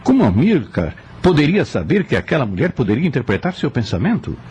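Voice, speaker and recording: A man's voice: mid-range voice, very animated, fairly quick, darkish tone, breathy edge. An actor playing a perplexed, inquisitive man who questions a spirit guide in a radio drama. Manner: questioning, puzzled.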